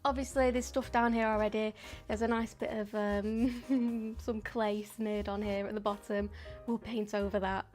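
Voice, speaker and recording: A woman's voice: medium pitch, little pitch movement, normal speed, soft background music. A woman, chatting casually and a bit ramblingly while she paints on a live stream. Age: 20s. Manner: chatty and rambling, with frequent ums and soft laughs.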